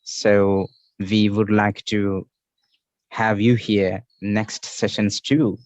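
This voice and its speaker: A man's voice: fairly low pitch, flat delivery, extremely slow, a little mellow, no echo. A man with an Indian accent hosting an online English class over a video call, patiently explaining idioms and repeating the key phrases.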